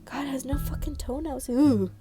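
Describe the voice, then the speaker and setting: Expressive woman reading aloud over music. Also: sits high, normal speed. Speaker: let's play streamer reading a visual novel aloud. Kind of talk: dramatic read-aloud with reactions.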